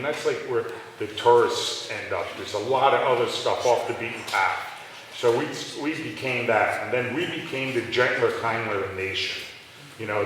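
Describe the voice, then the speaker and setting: A middle-aged man, telling rambling stories with restarts during a live onstage interview. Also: fairly bright tone. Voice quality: deep voice. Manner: rambling storytelling with restarts and laughs. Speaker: restaurant owner. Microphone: handheld mic that cut out, picked up from a distance. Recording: echoey hall from far off, faint noise.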